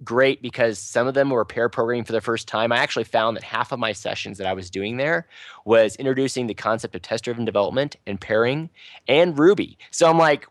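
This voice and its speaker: A man who runs a local programming user group, talking casually in a loose, chatty way with lots of fillers and restarts, and a fairly loud voice. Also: medium pitch, no echo. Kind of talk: casual podcast panel talk.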